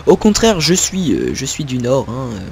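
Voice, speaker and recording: A man's voice: medium pitch, wildly swooping pitch, normal speed, creaky, darkish tone, a little hiss or hum. A young man from northern France giving casual, rambling gameplay commentary, full of fillers and little laughs at himself. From Picardy, northern France. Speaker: gaming video creator.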